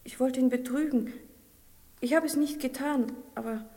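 A soft-spoken young woman, pleading earnestly in a mellow, slightly high voice. The old radio play recording carries a long reverb. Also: fairly even intonation, normal speed. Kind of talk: 1960s radio play acting.

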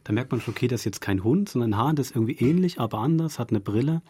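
A man's voice: medium pitch, animated, rapid-fire, darkish tone, husky. A man giving a thoughtful interview as a radio drama director, explaining his craft with examples. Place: dry radio studio.

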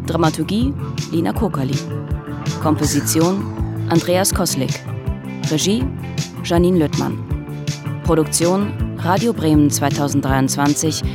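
A woman's voice: low-pitched, measured pace, long pauses, over loud music. A woman reading scripted end credits for a radio drama as its announcer.

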